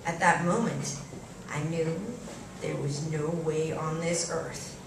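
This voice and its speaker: A woman reading a first-person story aloud at a live event, expressively and theatrically, with deliberate pauses and varied emphasis. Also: sits low, little pitch movement. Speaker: reader performing a short story at a live event. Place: live reading in a cafe venue. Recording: echoey room, distant mic, faint noise.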